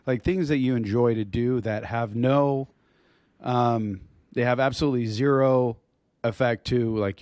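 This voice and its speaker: A hoarse man on a podcast, speaking at a middle pitch with his voice worn down. He rambles, restarts his sentences and clears his throat now and then. The sound is dry and close, with no room around it. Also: a little mellow, no room sound. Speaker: podcast co-host. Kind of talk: casual podcast chat.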